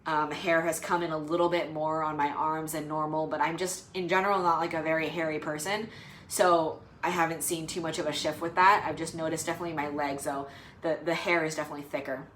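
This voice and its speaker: A woman's voice: low-pitched, steady tone, fairly quick, a bit bright, a little hiss or hum. A young adult woman vlogging casually to the camera, rambling and hesitant with lots of fillers. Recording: roomy echo.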